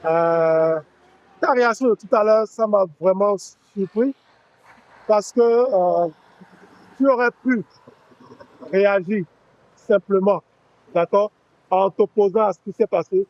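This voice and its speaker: A man hosting a casual interview, curious and friendly, often nudging with quick "ok"s. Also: sits high, no room sound, highs cut off. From Benin. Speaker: show host.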